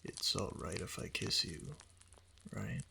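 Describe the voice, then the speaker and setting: A man playing a doting, obsessive fox-spirit husband in an intimate ASMR roleplay, breathy and half-whispered, tender and hesitant, close to the microphone. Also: low-pitched, lively intonation, slow, pushed and bright, a little hiss or hum, heavily acted. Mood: adoring.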